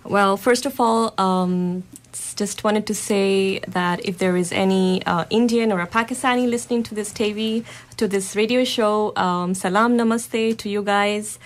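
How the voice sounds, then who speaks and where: A woman's voice: medium pitch, fairly even intonation, moderate pace. A woman raised in Libya, a radio guest talking casually about herself with frequent ums. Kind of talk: casual interview chat.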